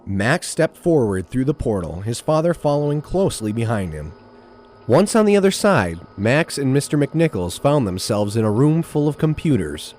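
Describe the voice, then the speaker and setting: A man's voice: mid-range voice, big pitch swings, normal speed, a little mellow, faint music behind. A man narrating an audio drama in a scripted storytelling style.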